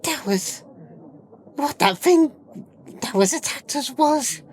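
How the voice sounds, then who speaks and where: An actress playing a shaken but resolute celestial warrior in a fantasy audio drama, intense and dramatic. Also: female voice, high-pitched, animated, slow pace, a little mellow, slight background noise. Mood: shaken, grave.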